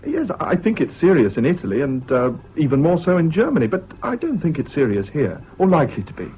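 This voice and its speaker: A well-mannered young English man in a radio play, hesitant and mildly sceptical. His mid-pitched voice moves up and down a lot, on a muffled old recording with faint hiss. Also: big pitch swings, fairly quick. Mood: mildly amused, defensive. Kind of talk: 1970s BBC radio drama acting.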